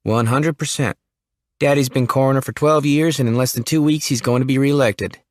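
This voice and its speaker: A man's voice: mid-range voice, steady tone, brisk, dry recording, studio quality, pitched above the performer's own voice, natural voice. A man voicing a shy, nervous young man who works at his father's funeral home. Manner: jumpy, rural, keeps deferring to Daddy.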